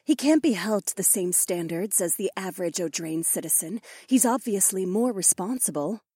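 A woman's voice: mid-range voice, expressive, moderate pace, mellow, slightly rough, no echo, clean studio sound. A woman playing a defiant, scornful young snow leopard princess in an audiobook drama. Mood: exasperated.

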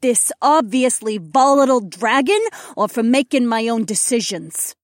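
A woman voicing a proud, sharp-tongued female wolf-pack leader in an audiobook, with snappy, assertive delivery. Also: on the high side, animated, moderate pace, no room sound, studio quality, close to an everyday voice. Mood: indignant, demanding. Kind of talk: audiobook character dialogue.

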